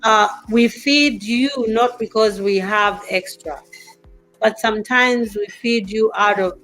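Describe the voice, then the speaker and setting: A woman in her fifties hosting a casual talk show, teasing and animated as she reads out viewer comments. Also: medium pitch, slow, soft background music, low-quality recording. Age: 50s. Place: in America, away from her home country.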